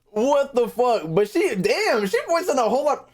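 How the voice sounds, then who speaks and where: A woman's voice: a little high, very animated, unhurried, darkish tone. A young adult woman reacting casually on a livestream, slangy and profane, often repeating phrases. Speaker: streamer reacting to game voice lines.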